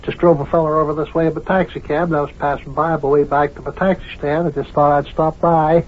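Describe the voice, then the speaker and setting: A man's voice: fairly high pitch, level tone, quick pace, a little hiss or hum, close to an everyday voice. A man playing a genial, folksy cab driver with an easygoing, rambling manner in an old children's radio serial. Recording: muffled, lo-fi old radio recording.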